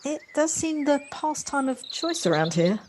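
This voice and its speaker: A woman playing a reserved city newcomer in an audio drama, speaking formally and haltingly. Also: high-pitched, sing-song, darkish tone. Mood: polite, guarded. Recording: noisy home recording on personal device. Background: birdsong.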